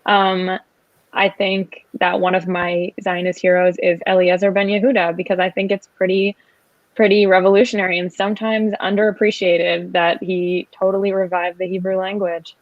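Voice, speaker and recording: A woman's voice: fairly low pitch, level tone, normal speed, dry recording, highs cut off. A young woman from the US, a student panelist, introducing herself hesitantly with frequent fillers. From United States. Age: young adult.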